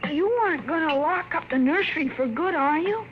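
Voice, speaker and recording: A woman puts on a sulky, willful little boy with a very high voice, pressing his point over and over. The radio drama sounds muffled, with a steady hiss under it. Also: fairly quick, close to an everyday voice. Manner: sulky, insistent. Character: spoiled willful young boy, quietly menacing. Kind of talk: 1950s radio drama acting. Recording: muffled hissy 1950s radio broadcast.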